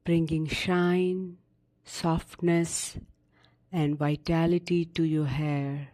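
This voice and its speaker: A woman narrating a guided sleep meditation in a soothing, unhurried manner with long pauses between short instructions. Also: low-pitched, little pitch movement, warm tone.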